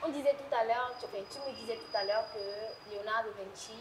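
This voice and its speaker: Female talk show interviewer, fairly high voice, informal, quick to interject, clear background noise. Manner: informal, interjects and rephrases answers. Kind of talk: conversational interviewing. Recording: clearly noisy ambient background.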